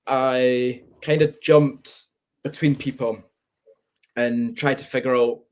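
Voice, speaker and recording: A man's voice: medium pitch, steady tone, slow pace, a little mellow. Reflective young Scottish man. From Spain. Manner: candid, reflective. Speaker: guest. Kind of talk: webinar conversation.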